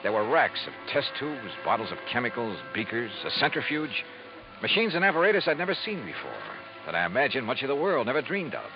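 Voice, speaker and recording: An actor playing a wry hard-boiled insurance investigator. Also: male voice, medium pitch, big pitch swings, fast, natural voice. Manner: easygoing, wry first-person narration. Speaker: narrator and lead. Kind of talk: 1950s radio drama acting. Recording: dull old radio recording with music.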